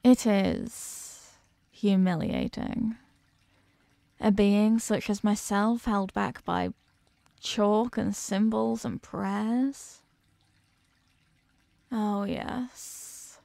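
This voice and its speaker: A woman playing an ancient captive eldritch goddess in a horror ASMR roleplay, haughty, hungry and menacing, speaking in an imperious, condescending and deliberate way. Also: mid-range voice, fairly even intonation, gravelly, dark tone, dry recording. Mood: indignant, scornful. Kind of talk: slow horror audio roleplay monologue, ASMR.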